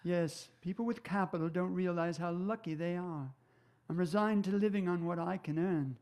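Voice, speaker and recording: A genteel but shady middle-aged gentleman for a radio play. His voice is notably high for a man. He talks with clubby, old-fashioned courtesy, dry and always a little guarded. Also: normal speed. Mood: probing, dry. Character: wary, genteel middle-aged rogue dealing in property. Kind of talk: stage radio-drama acting.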